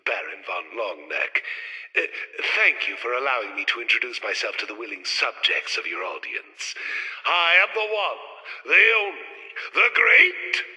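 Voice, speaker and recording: An actor playing a pompous, theatrical alien mad-scientist villain with a raspy voice in a retro sci-fi radio serial. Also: male voice, medium pitch, sing-song, moderate pace, bright, forceful tone, heavily acted, poor audio. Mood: boastful. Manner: theatrical, grandiose, indignant.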